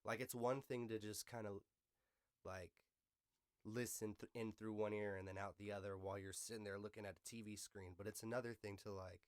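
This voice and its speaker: A man's voice: lowish voice, fairly even intonation, fairly quick, clean tone, dry recording, clean studio sound. An American man hosting a podcast in casual, slangy, profanity-sprinkled conversation, giving his opinions in a loose, unscripted way. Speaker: podcast host.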